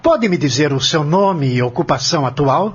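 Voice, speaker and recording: A man's voice: on the high side, lively intonation, moderate pace, slightly rough. A man playing a formal, probing lawyer who questions a witness politely but insistently in an audio drama. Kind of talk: audio novel acting. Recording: dull lo-fi audio with music bed. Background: music.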